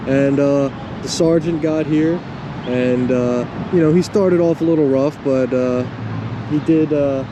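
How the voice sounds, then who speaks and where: A man narrating a vlog casually as co-host, with a halting, filler-heavy delivery. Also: mid-range voice. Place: outdoors in a car park. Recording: clear outdoor traffic noise.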